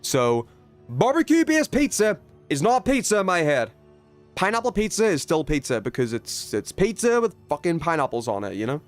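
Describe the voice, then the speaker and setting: A man's voice: fairly high pitch, wildly swooping pitch, moderate pace, soft background music. A man from the UK chatting casually to his viewers while gaming, with an emphatic, opinionated, sweary way of talking. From United Kingdom. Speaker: video game livestreamer.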